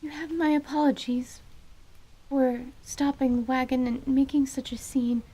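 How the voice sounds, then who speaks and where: A woman's voice: high-pitched, level tone, unhurried, mellow, slightly rough, low-quality recording. A woman playing an anxious, proud young surgeon and spellcaster in a fantasy audio drama, speaking formally and hesitantly, with an apologetic manner. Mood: shaken, apologetic.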